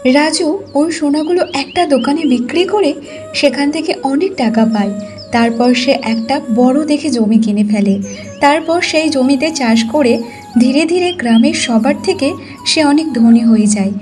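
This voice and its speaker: High, mellow woman cartoon storyteller. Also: measured pace, faint music behind. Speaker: story narrator. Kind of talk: scripted cartoon storytelling narration.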